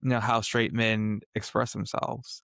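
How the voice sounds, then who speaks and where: A young man in a casual podcast discussion, talking in a thoughtful, measured way with a soft, gentle voice. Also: lowish voice, little pitch movement, vocal fry, no echo, clean studio sound. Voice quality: soft, gentle voice some call a therapist voice. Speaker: podcast co-host.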